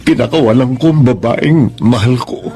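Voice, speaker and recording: A man with a Filipino accent playing a heartbroken, remorseful ex-husband in a radio drama, speaking haltingly and emotionally. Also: medium pitch, close to an everyday voice. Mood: anguished regret. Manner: halting, stammering, emotional delivery. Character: heartbroken, remorseful ex-husband confessing lost love. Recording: music under the voice.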